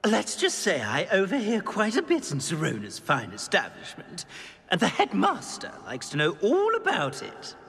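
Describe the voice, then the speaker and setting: Game role: haughty, blustering talking portrait of a wizard informant, hugely animated pitch, long reverb. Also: male voice, moderate pace, creaky, breathy edge, full character voice. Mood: self-important. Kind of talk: scripted game acting.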